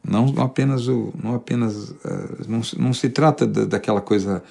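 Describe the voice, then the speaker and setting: Gravelly man musing. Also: mid-range voice, fairly quick, darkish tone. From interior of São Paulo state, Brazil. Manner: thoughtful, many restarts and repeated words. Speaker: singer-songwriter, interviewee. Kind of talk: reflective conversational monologue.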